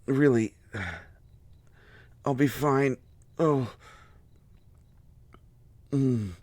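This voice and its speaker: Guy acting hurt bookish man in audio roleplay, kinda cranky but gentle, slow n halting, really dry close recording. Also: medium pitch, no room sound. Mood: pained, reassuring. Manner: halting, pained, fussy wordy phrasing.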